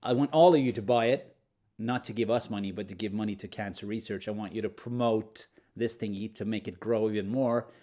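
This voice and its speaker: A man's voice: fairly low pitch, fairly even intonation, brisk, warm tone. A man co-hosting a podcast in casual chat, joking and playful, with frequent fillers. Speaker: podcast co-host.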